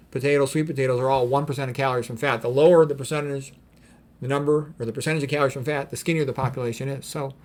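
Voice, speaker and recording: A man giving an informal lecture in a blunt, opinionated, emphatic way, talking conversationally with rhetorical questions and frequent check-ins. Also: medium pitch. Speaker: physician giving health commentary. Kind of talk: informal lecture, reading quotes and commenting.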